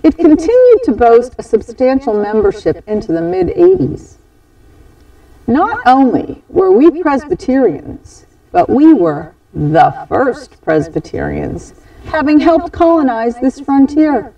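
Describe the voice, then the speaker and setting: A woman with a mellow, very animated voice reads a scripted story aloud in an expressive, measured way. She leaves dramatic pauses between phrases. The recording is dull, picked up by a distant microphone. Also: high-pitched, vocal fry. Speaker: storyteller at a church holiday program. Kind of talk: scripted first-person story reading.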